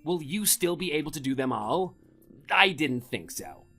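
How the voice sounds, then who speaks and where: A man's voice: on the high side, lively intonation, normal speed, bright, forceful tone, soft background music, heavily acted. An actor playing a domineering, contemptuous middle-aged husband scolding his wife in a scripted audio drama. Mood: belittling.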